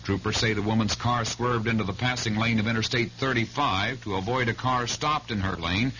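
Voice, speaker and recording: A man's voice: lowish voice, fairly even intonation, brisk, pushed and bright. An American man reading a scripted local radio news bulletin. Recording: muffled old radio, faint hum.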